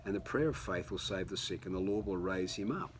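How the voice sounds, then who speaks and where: A man, slightly hoarse, giving a conversational sermon recorded at home, often restarting his sentences. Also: sits low, a little fast, a little hiss or hum. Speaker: pastor.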